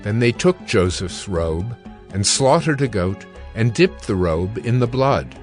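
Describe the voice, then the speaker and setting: A man's voice: lowish voice, very animated. Solemn male Bible narrator over music. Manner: measured, solemn storytelling. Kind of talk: scripted dramatic Bible narration.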